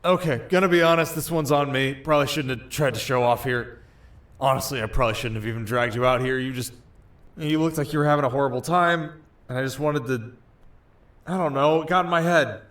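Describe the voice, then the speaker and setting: A man's voice: mid-range voice, fairly quick, fairly bright tone, no room sound, close to an everyday voice. A man voicing a young, reckless winter spirit in an ASMR roleplay, casual and sheepish with a defensive streak. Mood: sheepish, apologetic.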